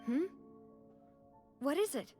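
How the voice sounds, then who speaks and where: A woman's voice: high-pitched, lively intonation, slow, mellow, faint music behind. A woman playing an earnest, tender-hearted young lady in a video game, speaking with emotion. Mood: dazed, sorrowful.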